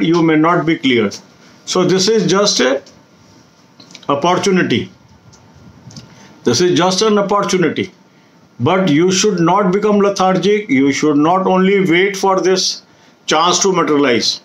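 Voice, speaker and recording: An Indian man in his fifties speaks as a coaching host giving an explanatory update. His voice sits a little high for a man, and he goes over points deliberately, repeating them for emphasis. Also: low-quality recording. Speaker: exam coaching video host. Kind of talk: explanatory update to camera for exam candidates. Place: small indoor room at home.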